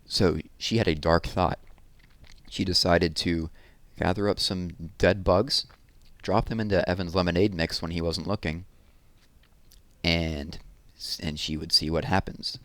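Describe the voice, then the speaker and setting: A man narrating a children's chapter book expressively, leaning deliberately on key words for drama. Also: low voice, steady tone, dry recording. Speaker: read-aloud narrator.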